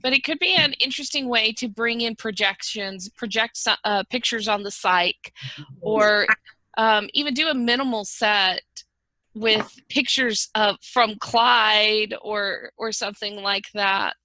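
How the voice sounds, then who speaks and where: A woman's voice: medium pitch, a little slow, sharp tone, no echo, poor audio. A woman offering tentative, thoughtful suggestions in a casual video-call discussion. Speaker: table read participant offering staging ideas. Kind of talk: casual suggestions in a group chat.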